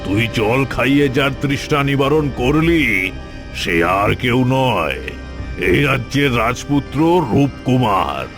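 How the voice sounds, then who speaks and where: A man playing a vengeful, scornful tantric sorcerer in a cartoon, with a gravelly voice and a scolding, commanding manner. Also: medium pitch, animated, unhurried, lightly acted. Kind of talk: dramatic cartoon voice acting. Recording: music behind the voice. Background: music.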